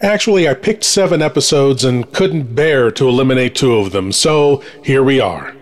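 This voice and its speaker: A man presenting a spooky countdown as a horror host, reading in a measured, theatrical way with dramatic pauses. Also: mid-range voice, expressive, faint music behind. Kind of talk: scripted spooky countdown narration.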